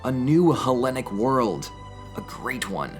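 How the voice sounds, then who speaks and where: A man's voice: mid-range voice, sing-song, takes it slowly, faint music behind. A young man speaking as a presenter of history and poetry, earnest and emphatic in an educational delivery. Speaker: presenter reading and explaining poetry. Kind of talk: casual educational commentary with dramatic poetry reading.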